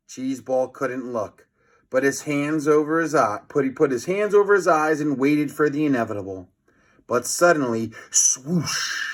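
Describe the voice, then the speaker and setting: A man reading a children's story aloud as a theatrical narrator, with teasing asides to young listeners. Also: mid-range voice. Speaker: storybook reader.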